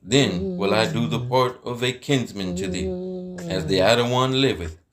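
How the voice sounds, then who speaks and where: A man playing a kindly, honourable landowner who speaks formally and deliberately in a dramatized scripture story. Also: on the low side, crowd noise, close to an everyday voice. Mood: reassuring.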